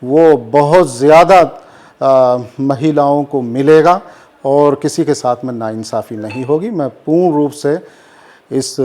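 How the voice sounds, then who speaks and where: A man speaks as a cleric being interviewed, in a fairly high voice. He takes his time, delivering formal, measured statements full of Urdu vocabulary. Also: warm tone. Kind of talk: formal press interview answers on current affairs.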